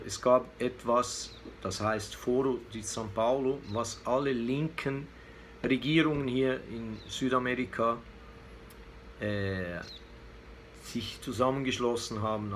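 A man in his fifties giving unscripted political commentary to camera, hesitant and searching for words. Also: medium pitch. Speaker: vlogger giving a weekly news commentary. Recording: clear background noise, room ambience.

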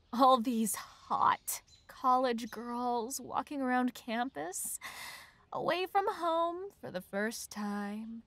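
Woman playing a slow-talking, teasing college bully girl. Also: on the high side, no echo. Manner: slow, taunting, breathy teasing delivery. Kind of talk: ASMR roleplay.

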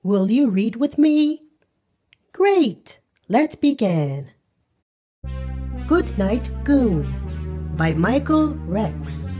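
A friendly furry puppet storyteller played by a woman reads a picture book to little children. The delivery is very slow and cheerful, with lively swoops of pitch and careful, singsong words. Music plays underneath. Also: exaggerated voice. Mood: cheerful, welcoming. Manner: cheerful, deliberate, singsong enunciation. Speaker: children's read-aloud host. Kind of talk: slow storybook read-aloud for young children.

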